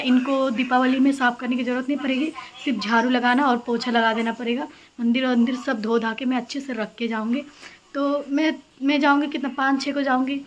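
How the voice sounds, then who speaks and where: High-pitched young woman vlogging at home. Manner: chatty, rambling. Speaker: housewife vlogger. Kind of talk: casual chat to camera. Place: small home rooms, kitchen and balcony. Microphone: phone at arm's length. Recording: clear background noise, household ambience.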